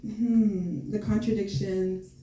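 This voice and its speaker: A woman whose first language is Haitian Creole, speaking on a panel, quick and laughing easily. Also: mid-range voice, steady tone, mellow, recorded from a distance, lo-fi sound. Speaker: community organizer, co-host. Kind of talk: panel conversation. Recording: roomy room, faint noise.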